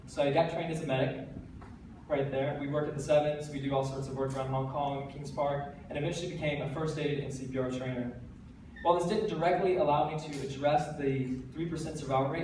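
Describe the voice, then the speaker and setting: A teenage man with an American accent, giving an earnest, energetic stage talk with light self-deprecating jokes. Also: medium pitch. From United States. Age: teens. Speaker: TEDx speaker. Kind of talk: stage talk to a live audience. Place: large hall. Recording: very echoey hall, voice from a distance, faint background noise.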